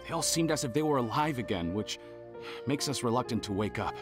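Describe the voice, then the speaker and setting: A man's voice: medium pitch, lively intonation, a little fast, music in the background, lightly acted. An actor playing a skeptical, reluctant male villager in a video game, hesitant and a bit defensive.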